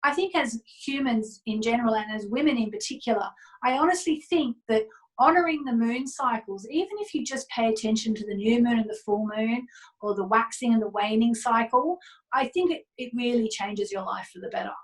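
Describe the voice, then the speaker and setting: A woman's voice: a little high, brisk, rough recording. A woman speaking as an interview guest, explaining astrology in an earnest, informal and emphatic way.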